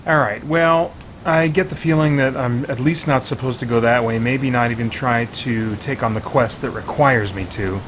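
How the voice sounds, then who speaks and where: A man's voice: medium pitch, moderate pace, hardly any pauses, fairly bright tone, slight background noise. A man giving casual video game commentary as a reviewer, thinking aloud in informal language with mild exclamations.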